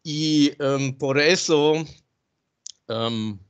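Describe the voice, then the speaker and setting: A man speaking Spanish with a German accent, his second language, giving slow halting remarks with many pauses and filler sounds on a video call. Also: fairly high pitch, clear, resonant tone. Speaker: guest speaker. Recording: dull lo-fi call audio.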